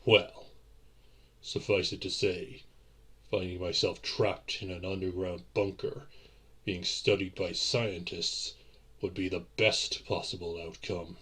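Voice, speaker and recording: Guy playing a gentleman vampire boyfriend, audio roleplay, very low n husky, formal and theatrical. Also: little pitch movement, exaggerated voice. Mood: wry, theatrical. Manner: formal, theatrical, deliberate phrasing.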